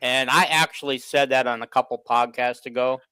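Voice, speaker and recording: A man's voice: mid-range voice, steady tone, a little fast, sharp tone, clear, resonant tone, no echo. An American man co-hosting a casual sports chat. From Iowa, United States. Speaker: sports show co-host.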